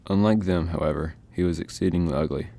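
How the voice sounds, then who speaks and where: A man playing a measured storybook narrator, reading scripted narration in a radio play. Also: sits low, gravelly, warm tone.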